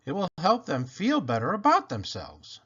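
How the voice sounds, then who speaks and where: A man reading a children's picture book aloud in an expressive, playful way with animated emphasis. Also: on the high side, moderate pace, husky. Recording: dull, lo-fi sound.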